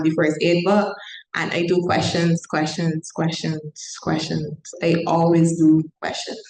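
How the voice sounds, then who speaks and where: A young woman from Barbados, a medical student, answering panel questions emphatically and repeating words for stress. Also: on the low side. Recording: echoey room.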